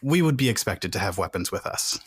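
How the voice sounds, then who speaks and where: A man's voice: lowish voice, animated, a little fast, slightly rough, no room sound. A man playing a tabletop game, asking questions in a dry, wry way. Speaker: player.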